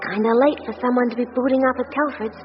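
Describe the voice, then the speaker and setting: Actress as breezy, cocky female hacker, high-pitched. Radio drama, reverberant, muffled sound. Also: fairly even intonation, fast, lightly acted. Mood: cocky, playful. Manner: slangy, teasing. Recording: muffled, long reverb, music behind.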